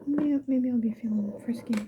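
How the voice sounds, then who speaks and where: A woman doing casual ASMR, whispering softly and musing to the viewer in an unhurried get-ready-with-me chat. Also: fairly high pitch. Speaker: ASMR video creator. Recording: muffled lo-fi with background hiss.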